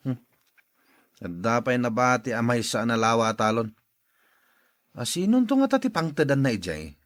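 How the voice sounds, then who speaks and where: A man playing a greedy, calculating eldest son in a radio drama, with an expressive delivery. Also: medium pitch, moderate pace, no room sound, studio quality, close to an everyday voice. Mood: discontented.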